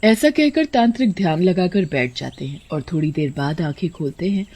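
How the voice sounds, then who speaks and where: A woman narrating a scripted story in an expressive storybook style. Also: fairly low pitch, normal speed, husky, no echo.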